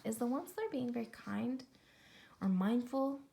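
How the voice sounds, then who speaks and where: A woman preschool teacher reading a story aloud, expressively, pausing to comment and ask the children questions. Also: on the high side, expressive, creaky, warm tone, a little hiss or hum. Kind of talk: read-aloud story time for young children.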